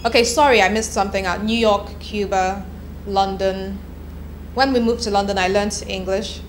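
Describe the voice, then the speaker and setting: A woman's voice: medium pitch, fairly even intonation, normal speed. A woman in her thirties, from Nigeria, answering interview questions in a candid, chatty way with restarts. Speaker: copywriter, interviewee. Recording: echoey room with background noise.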